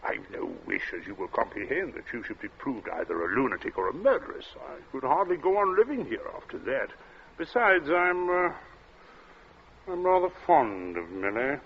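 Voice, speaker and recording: An actor playing a cold, urbane captain in an old radio thriller, speaking in a suave, measured way with polite menace and small hesitant asides. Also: male voice, mid-range voice, sing-song, gravelly. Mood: calmly calculating. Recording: dull, noisy vintage radio recording.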